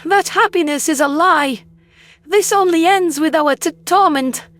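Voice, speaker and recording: A woman's voice: very high voice, a little slow, a bit bright. A woman playing a traumatized, bitter female alien historian in an audio drama, agitated and intense, prone to stammering. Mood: accusing, despairing. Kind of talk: dramatized audiobook character acting.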